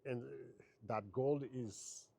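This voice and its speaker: A man's voice: medium pitch, takes it slowly, dark tone. A man from Haiti, in his thirties, answering interview questions in a thoughtful, hesitant way with many fillers and restarts.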